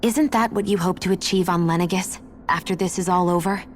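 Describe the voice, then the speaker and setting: An actress playing a guarded, reflective young heroine in a video game, speaking thoughtfully and earnestly. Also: female voice, on the low side, steady tone, fairly quick, close to an everyday voice. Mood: gentle, encouraging. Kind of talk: dramatic video game cutscene acting. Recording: music playing behind the voice.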